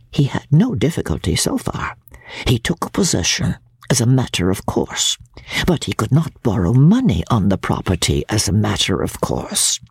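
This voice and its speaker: A woman doing a dramatic audiobook reading as a shrewd, cold older Victorian woman recounting secrets, with a low, husky voice and deliberate delivery. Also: no room sound, studio quality, full character voice. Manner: deliberate storytelling, dramatic swoops and hushes. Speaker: audiobook reader. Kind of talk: dramatic reading of classic literature.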